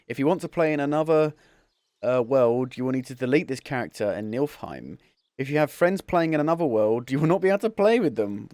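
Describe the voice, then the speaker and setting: A man's voice: mid-range voice, a little mellow, no echo, studio quality. A man casually commenting as he starts playing a game on stream, rambling and chuckling at himself. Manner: rambling, chuckling, self-deprecating. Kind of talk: casual let's-play commentary.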